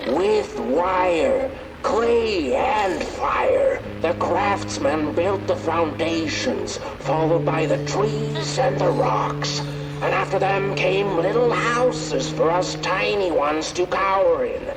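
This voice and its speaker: An actor voicing a tiny, timid folk creature who narrates a wistful storybook tale in a fantasy game. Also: male voice, high voice, animated, slow pace, poor audio. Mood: wistful, wondering. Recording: loud music behind the voice.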